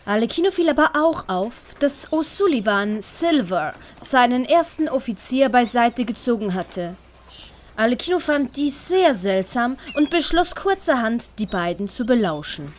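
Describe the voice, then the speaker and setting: A woman's voice: a little high, sing-song, normal speed, a little hiss or hum. A woman with a Swiss German accent reading a scripted children's story as narrator. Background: dramatic music and sound effects.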